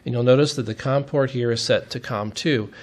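Plain-spoken guy doing a tutorial, middle pitched voice, methodical step by step tech walkthrough. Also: fairly quick. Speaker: instructor giving a tutorial. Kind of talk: step-by-step technical demonstration.

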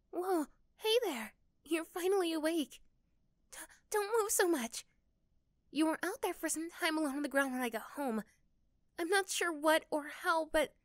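Woman doing a sweet shy girl next door for asmr roleplay, high gentle voice, really dry sound. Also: no room sound. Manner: soft, hesitant, gentle.

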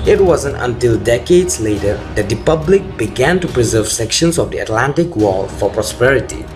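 A man's voice: mid-range voice, animated, normal speed. A man reading scripted documentary narration as the host of a video. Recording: loud music under the voice. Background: loud background music.

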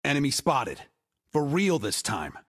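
An actor playing an excitable, slightly comic male soldier in a video game, delivering short callouts in a husky, theatrical voice. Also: mid-range voice, a little slow. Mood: dramatic, comic.

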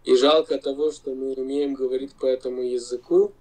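A man in his forties from Lithuania with a Lithuanian accent, speaking Russian as a non-native, insistent and halting with pauses, over a video call. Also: mid-range voice, steady tone, a little mellow, poor audio. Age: 40s. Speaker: video-chat guest. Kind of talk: heated video-chat argument.

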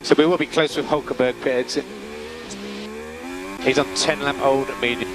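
A man working as a race engineer gives calm, methodical, terse instructions over team radio, repeating key calls. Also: mid-range voice, moderate pace, vocal fry. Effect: team radio transmission. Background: vehicle hum and noise.

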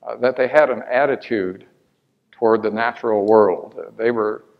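A man's voice: on the low side, normal speed, muffled recording. An older man answering audience questions after a lecture, hesitant with many fillers and restarts, on a lapel mic in a large hall.

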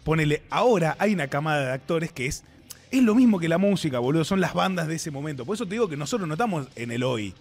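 A man in his thirties with an Argentine accent co-hosts casual banter. His fairly high voice moves a lot in pitch. He talks in a slangy, laid-back way and likes to argue his point. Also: big pitch swings, fast. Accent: Argentine Spanish. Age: 30s. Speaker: streaming show co-host.

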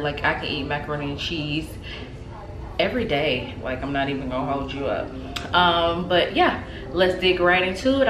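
Woman in her 30s, low unhurried voice, chatty home-cook vlogger, echoey kitchen with a TV in the background. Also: noisy background. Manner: chatty and relaxed, many ums and likes. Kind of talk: casual cooking demonstration. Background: TV playing in the background.

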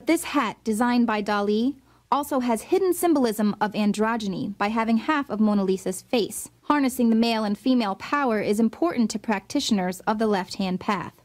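A woman with a fairly high voice reads a scripted voice-over. Her delivery is dramatic and insinuating. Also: a little fast, a little mellow. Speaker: narrator. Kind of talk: scripted narration.

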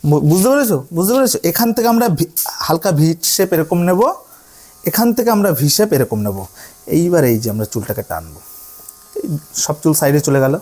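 A man teaches hairdressing in a high voice. His intonation moves widely up and down as he instructs trainees. He repeats himself often and keeps asking whether they have understood. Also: sing-song, a little fast, long pauses, darkish tone. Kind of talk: hands-on tutorial to trainees.

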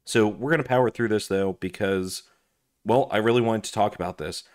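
A man who is hoarse and losing his voice, chatting casually with lots of fillers while he reviews his gear at a desk indoors. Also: on the low side, brisk, a little mellow. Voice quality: raspy. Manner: chatty, rambling, with frequent fillers and restarts. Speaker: gear review channel host. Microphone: overhead camera on desk, hands only.

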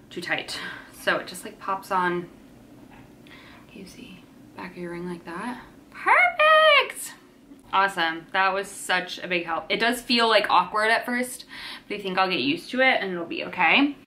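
A young woman chats away in a bubbly, rambling vlog, her voice bright and clear with plenty of "like" and "um" thrown in. She is filmed at home by a camera on a tripod, with a faint hiss of room ambience behind her. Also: medium pitch, very animated, measured pace, pauses often. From western New York. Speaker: vlogger. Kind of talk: casual chatty vlog. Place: kitchen and bedroom at home.

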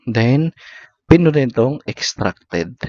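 A man's voice: medium pitch, animated, takes it slowly, a little mellow, no echo. A man with a Filipino accent gives step-by-step app tutorial narration in short, instructional phrases. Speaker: tutorial host. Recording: slightly dull lo-fi voice recording.

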